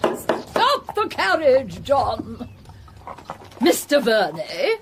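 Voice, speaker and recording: Female, haughty Victorian aristocrat, suspicious, slow, animated intonation, commanding, radio drama, background ambience. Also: fairly high pitch, slight background noise, heavily acted. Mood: imperious, suspicious. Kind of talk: period radio drama acting.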